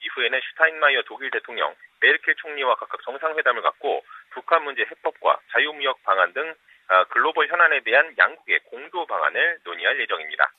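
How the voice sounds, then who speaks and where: A man reading a news report in a steady broadcast style. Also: medium pitch, quick pace, breathy edge. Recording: tinny phone-like broadcast audio.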